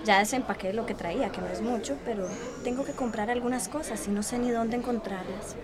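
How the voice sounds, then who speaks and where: A woman's voice: a little high, brisk, crowd noise, natural voice. A woman playing a friendly, earnest young newcomer in a radio soap, polite and conversational. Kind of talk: radio soap opera acting.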